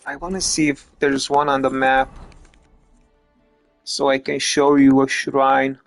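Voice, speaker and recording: A man's voice: medium pitch, monotone, measured pace, creaky, soft background music. A man from Romania, giving casual gameplay commentary as a streamer, rambling, stumbling over repeated words and swearing now and then. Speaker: gaming streamer.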